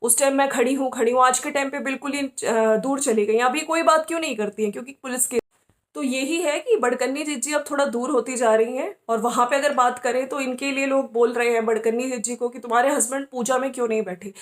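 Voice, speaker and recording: A woman's voice: high voice, steady tone, fairly quick. A woman giving casual opinion commentary as a host, talking conversationally and often checking for agreement. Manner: conversational, often seeking agreement with tag questions.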